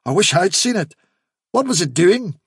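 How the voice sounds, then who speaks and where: Fox juror for an animal tale, male reader: inquisitive, clipped, high and husky. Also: sing-song, moderate pace, no echo, studio quality, heavily acted. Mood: curious. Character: curious, incredulous fox on a predators' jury. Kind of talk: storybook character reading.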